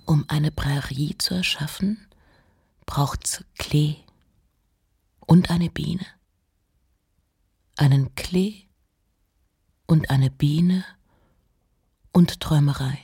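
A woman reciting poetry in a measured, hushed and deliberate way, line by line. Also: sits low, steady tone, creaky, slightly rough. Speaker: poetry reader.

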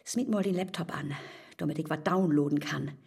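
An actress playing a sly, bold woman scheming in a comic rhyming radio play, speaking northern Low German with a husky voice and slipping into conspiratorial whispers. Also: moderate pace, darkish tone, full character voice. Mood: sly, plotting.

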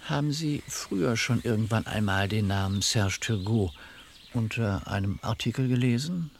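Police commissioner: low, gravelly, polite and methodical, hesitant questions, vintage radio drama. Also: male voice, lively intonation, normal speed, slight background noise. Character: courteous, probing male French police commissioner. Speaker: actor in a radio crime drama. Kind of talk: 1970s German radio drama acting.

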